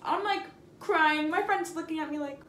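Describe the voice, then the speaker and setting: A young woman with a high voice tells a casual vlog story in an echoey room with some background noise. Her delivery is expressive and slangy, leaning on dramatic emphasis and repeated phrases. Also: normal speed. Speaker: storytime video host.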